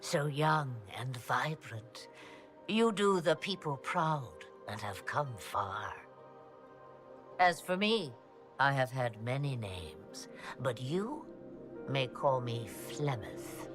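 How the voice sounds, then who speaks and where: Ancient witch lady for a game, grand and cryptic, really swingy pitch, music under it. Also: sing-song, full character voice. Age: older. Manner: theatrical, grandly cryptic, deliberate phrasing. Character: ancient witch woman of legend, regal, cryptic, wry. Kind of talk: dramatic video game acting. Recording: orchestral game music behind the voice.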